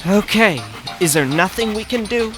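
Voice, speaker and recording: An actor playing a pampered island mystic who swings between worry and exasperated, panicky outbursts. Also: male voice, high-pitched, expressive, normal speed. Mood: worried. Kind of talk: comedic audio drama acting. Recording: reverberant room with music.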